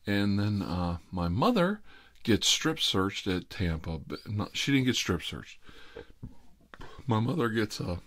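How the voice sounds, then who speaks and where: A middle-aged man with a very low-pitched voice tells personal stories in an informal, confessional style. His delivery rambles and has a sardonic, wry edge. The sound is very dry, with hardly any room in it. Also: sing-song, a little slow, a bit bright, no echo. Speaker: ASMR host telling personal stories.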